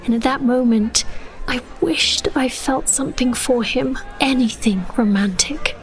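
Young sorceress princess, high voice, earnest n romantic, takes her time, fantasy audio drama. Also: unhurried. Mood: wistful, elated. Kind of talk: first-person fantasy audio drama narration and dialogue.

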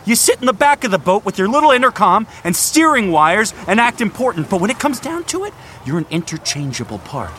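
A cruel young team captain in an audio drama. His voice is fairly high, sharp and animated, dripping with condescension over noisy ambience. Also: fairly quick. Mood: cruel, mocking. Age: young adult. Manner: mocking, condescending. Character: cruel, domineering young male crew captain.

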